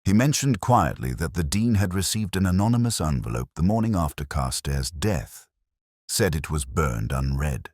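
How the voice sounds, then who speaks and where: Very deep-voiced brooding detective played by a man. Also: big pitch swings, darkish tone, dry recording, clean studio sound, full character voice. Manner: measured, sombre, wry. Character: brooding, world-weary male English police inspector narrating his case. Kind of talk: literary first-person detective drama narration.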